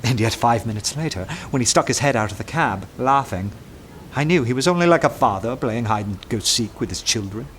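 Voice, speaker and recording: An actor playing an earnest, awestruck detective who narrates a radio drama in an intense, dramatic manner. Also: male voice, mid-range voice, lively intonation, fairly quick, slight background noise, natural voice. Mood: horrified wonder. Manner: intense, dramatic, hushed at times.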